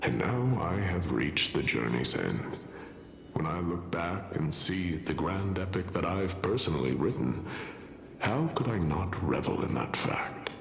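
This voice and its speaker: Deep, gravelly noble warrior. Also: male voice, sing-song, heavily acted. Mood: serene. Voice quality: low, gravelly. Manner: solemn, grandiloquent, measured. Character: weary, noble male warrior embracing death serenely. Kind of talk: dramatic fantasy game voice acting. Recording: echoey voice over prominent music.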